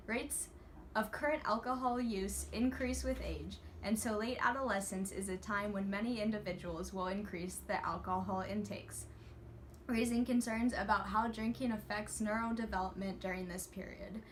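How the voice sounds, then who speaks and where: A young woman student reading a class presentation from a script, steady and earnest. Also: medium pitch, moderate pace, a little hiss or hum. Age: young adult.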